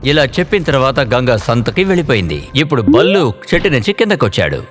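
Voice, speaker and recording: A man narrating an animated children's story in an expressive storytelling manner. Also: medium pitch, brisk. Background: faint background music.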